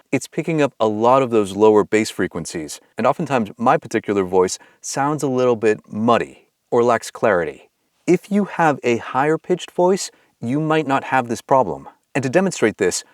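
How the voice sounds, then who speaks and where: A man with a deeper voice giving calm, clear on-camera tips as a content creator. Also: no room sound, clean studio sound. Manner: calm, clear explanatory delivery. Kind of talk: instructional talk to camera. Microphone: clip-on lavalier mic.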